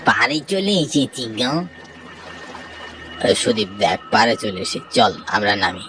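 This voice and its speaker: Cartoon father: male actor playing calm middle-aged villager, lowish voice, reassuring, over clear watery ambient noise. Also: measured pace. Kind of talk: horror cartoon voice acting. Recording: noisy ambience with watery background sound.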